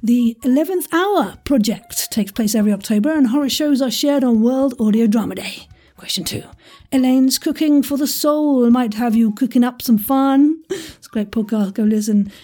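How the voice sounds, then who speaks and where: A woman's voice: a little high, moderate pace, warm tone. A woman hosting an audio quiz in a chatty, playful style, with a theatrical, jokey delivery full of asides and exclamations. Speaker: quiz host.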